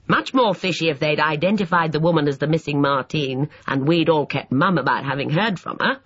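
A man's voice: a little high, fairly quick, a bit bright, husky, dry recording. A man voicing a blunt, easygoing brother in an audiobook. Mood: admonishing. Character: breezy, blunt brother defending his sister. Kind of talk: audiobook character voice. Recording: dull, lo-fi sound.

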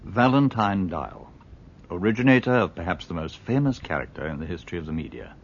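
A man presenting a radio documentary in measured, formal scripted narration. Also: deep voice, sing-song, slight background noise. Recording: dull lo-fi archive.

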